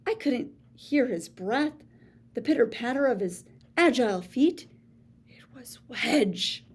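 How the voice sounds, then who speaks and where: A woman reading a children's picture book aloud in a playful, theatrical way, with big swings between soft and showy lines. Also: a little high, animated, slow, slightly rough. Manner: boastful first-person storybook voice. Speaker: read-aloud storyteller.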